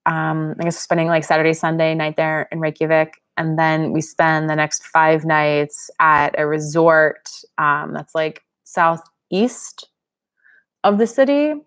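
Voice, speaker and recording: A woman's voice: deep voice, steady tone, measured pace, a bit bright, clean tone. A young woman from Pennsylvania hosting a live-stream Q&A, chatting casually and reading viewer comments aloud. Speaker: channel host.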